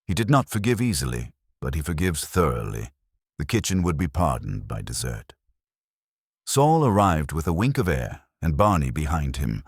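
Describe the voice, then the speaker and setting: A man reading a first-person detective story as narrator, in a wry, measured storytelling manner. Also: extremely low pitch, no room sound, clean studio sound.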